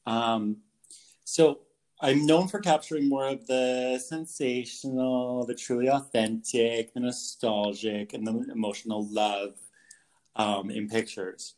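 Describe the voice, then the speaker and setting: A young Canadian man, a photographer, answers interview questions in a casual, earnest way, halting often with fillers and restarts. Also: medium pitch, fairly even intonation, a little mellow. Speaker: guest photographer.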